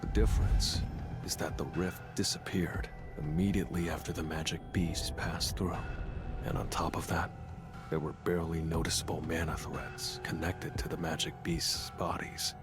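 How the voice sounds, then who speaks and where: A young monster hunter from a video game, with a low-pitched voice. He speaks tersely and matter-of-factly, like someone used to giving orders. Music plays clearly behind him. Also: little pitch movement, normal speed. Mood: cool, composed. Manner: terse, composed, matter-of-fact. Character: cool, decisive young male hunter commanding shadow soldiers. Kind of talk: scripted dramatic game acting.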